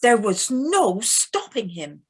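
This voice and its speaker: A woman acting as the narrator of a storybook animal tale in an audio play, with measured, expressive delivery. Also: fairly high pitch, husky, exaggerated voice. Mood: lively storytelling. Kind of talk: scripted narration.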